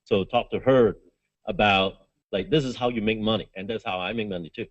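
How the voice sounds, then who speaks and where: Lowish-voiced man commenting, dull audio. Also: steady tone, fairly quick. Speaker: conference attendee giving a comment. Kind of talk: casual off-the-cuff remarks. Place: conference hall. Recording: dull, lo-fi event recording.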